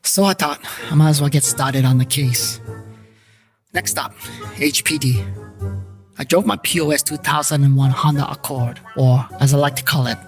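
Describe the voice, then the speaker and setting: A man's voice: on the high side, close to an everyday voice. A man playing a wisecracking private paranormal investigator, narrating his own story in a wry, colloquial, self-mocking way. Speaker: narrator and lead character. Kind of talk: scripted first-person noir-comedy narration. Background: guitar music.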